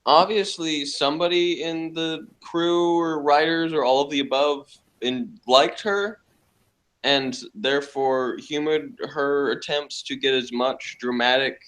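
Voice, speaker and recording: A man's voice: mid-range voice, steady tone, unhurried, fairly bright tone. A man giving a blunt, dismissive review in casual conversation. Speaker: podcast reviewer. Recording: clearly noisy background.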